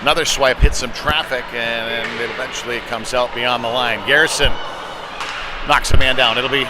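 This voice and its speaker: Man, play-by-play hockey commentary, medium pitch, live call, noisy venue background. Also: steady tone, normal speed. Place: indoor ice rink during a game. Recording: noisy arena, clatter and hum behind.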